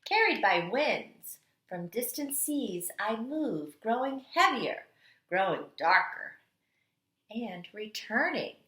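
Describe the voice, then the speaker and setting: Female kindergarten teacher for picture-book read-aloud: bright, slow, expressive and dramatic, recorded in a roomy, echoey space. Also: fairly high pitch. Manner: dramatic, deliberate delivery with strong swells and hushed endings.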